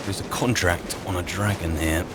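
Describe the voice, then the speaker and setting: A man's voice: fairly even intonation, moderate pace, heavy background noise. A man giving understated, in-character commentary as he roleplays through a fantasy video game, often muttering to himself. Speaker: gameplay roleplayer and narrator. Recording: loud game ambience and rumbling behind.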